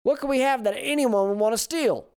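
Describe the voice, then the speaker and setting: A man's voice: very high-pitched, a little fast, darkish tone, smooth, pitched above the performer's own voice. A man voicing an old country wife who fusses and bosses her husband, with a sweet drawl. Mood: fussing. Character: old Southern country wife, bossy and fussing.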